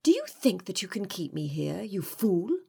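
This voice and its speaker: A frightened but defiant young captive woman, played by a woman narrator, speaks with a husky edge. She is indignant and breathless, pushing her demands out dramatically as in a romance audiobook. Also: mid-range voice, sing-song, normal speed, a little mellow, voice pushed up. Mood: panicked, defiant.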